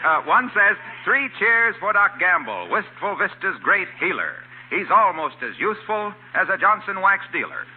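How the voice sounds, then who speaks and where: On a narrow old radio recording, a jovial American pitchman in a 1940s comedy. The voice is rough-edged and very lively, delivering slogans with hearty self-satisfaction. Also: normal speed, few pauses, full character voice. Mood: eager, hearty. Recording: tinny old radio broadcast.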